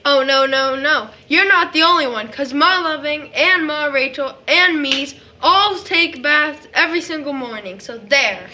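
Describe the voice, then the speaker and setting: A woman's voice: high voice, unhurried, hardly any pauses, pushed and bright, slight background noise. A woman playing a chatty, polite small boy in an audio drama, full of innocent questions and eager insistence. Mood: excited, proud.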